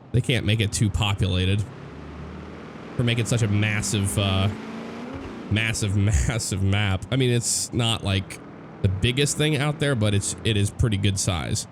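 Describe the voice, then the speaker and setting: A young man from the United States talks through a driving game in a loose, rambling way, full of fillers and restarts. His voice sits on the low side and is hoarse from a cold. Loud engine and road sounds from the game run underneath him. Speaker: gameplay commentator. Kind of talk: casual driving game commentary. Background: car engine and road noise from the game.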